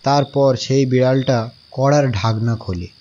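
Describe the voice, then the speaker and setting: A man's voice: mid-range voice, normal speed, mellow, dull audio, slight background noise, lightly acted. A man voicing a storyteller who recounts a ghost tale in a cartoon, in scripted narration. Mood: suspenseful.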